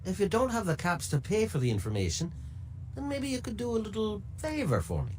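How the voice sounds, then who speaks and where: Crafty middle-aged broker, highish voice, swinging intonation, wheedling, sardonic, game dialogue. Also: male voice, wildly swooping pitch, a little fast, a little hiss or hum. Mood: sly bargaining. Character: sly, mercenary middle-aged male information dealer.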